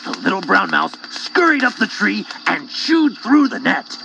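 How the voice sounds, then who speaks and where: A man narrating a children's story as a storyteller in an animated, scripted style. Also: high-pitched, measured pace, creaky, a little hiss or hum. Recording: dull cassette tape.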